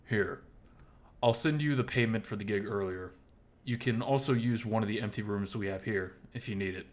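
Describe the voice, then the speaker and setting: A man playing a calm, protective male bar owner in an audio story, speaking in a gentle, reassuring manner. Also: on the low side, moderate pace, natural voice. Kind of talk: dramatized audio story dialogue.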